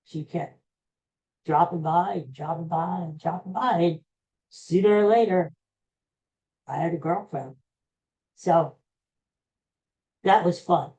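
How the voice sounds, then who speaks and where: The audio is dull and lo-fi, carrying the very soft, dark-toned voice of an older man with an American accent. He hosts a casual storytelling podcast, wandering through his sentences with halting starts and a self-deprecating manner. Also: on the high side. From Pennsylvania, USA. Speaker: podcast host.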